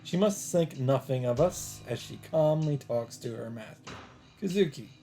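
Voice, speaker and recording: A man narrating a visual novel aloud as a let's player, in a measured, casual read-aloud delivery. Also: mid-range voice, expressive, warm tone, soft background music.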